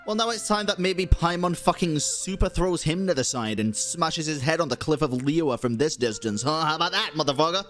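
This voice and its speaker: Streamer: medium pitch, casual live game commentary, irreverent, sweary slang, faint game music behind. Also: a little fast, hardly any pauses, fairly bright tone. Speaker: game streamer reacting to play.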